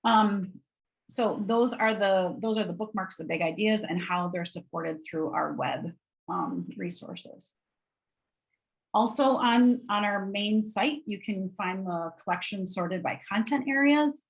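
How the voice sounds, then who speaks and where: A woman's voice: mid-range voice, unhurried, low-quality recording. A woman with a nasal Wisconsin accent giving an upbeat, informal webinar walkthrough of educational resources in a conversational way.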